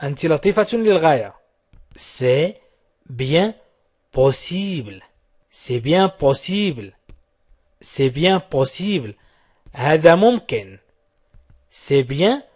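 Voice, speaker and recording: Brief: male language tutor, higher-pitched, husky tone, repeats short phrases for drilling. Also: sing-song, a little mellow. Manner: repeats each short phrase slowly several times. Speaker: language teacher.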